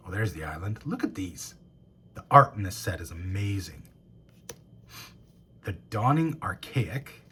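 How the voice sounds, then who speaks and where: Shop-owner host, 30s, male, hoarse and husky after a cold, lively pitch, excited casual unboxing patter. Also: unhurried, pauses often. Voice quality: raspy. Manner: enthusiastic, reads card names and rules aloud. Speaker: game store owner. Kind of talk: casual box-opening commentary.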